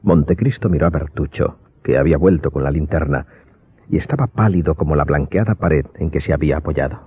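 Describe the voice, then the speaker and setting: Deep-voiced male story narrator. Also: fairly quick, slightly rough. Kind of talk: scripted audiobook narration. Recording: muffled, band-limited audio with faint music.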